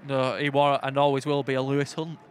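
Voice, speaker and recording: A man with a Yorkshire accent bantering through live football commentary in a colloquial, jokey, excitable way. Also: mid-range voice, fairly quick, a little hiss or hum. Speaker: football commentator and podcast host. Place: outdoors at a football ground.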